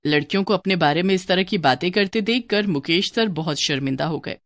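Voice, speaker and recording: A woman's voice: fairly low pitch, a little fast, no room sound, clean studio sound. A woman narrating a scripted children's story.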